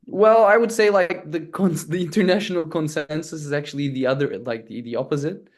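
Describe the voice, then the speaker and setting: A man's voice: a little high, fairly quick. A man from Turkey, a native Turkish speaker, talking casually as an interview guest.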